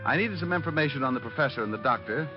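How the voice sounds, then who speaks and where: An actor playing a wisecracking, flirtatious private detective with an American accent and breezy, sarcastic delivery in golden-age radio style. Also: male voice, medium pitch, animated, racing, music in the background, natural voice. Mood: dry narration. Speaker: lead actor and narrator of detective radio drama. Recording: muffled old radio recording.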